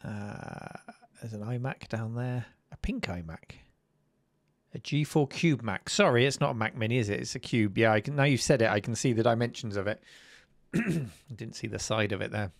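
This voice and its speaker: A man's voice: medium pitch, moderate pace, creaky, no echo, clean studio sound. A man hosting a live stream, chatting and reacting to viewers' videos. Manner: chatty, enthusiastic. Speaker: host.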